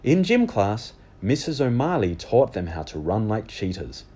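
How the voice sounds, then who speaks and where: A man reading a children's book aloud as animated storybook narration. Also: fairly low pitch, unhurried, breathy edge.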